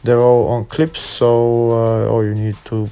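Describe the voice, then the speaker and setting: A man's voice: medium pitch, level tone, measured pace, dark tone, clean tone, soft background music. A man giving step-by-step how-to narration in a plain, hesitant instructional manner. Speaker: car repair video host.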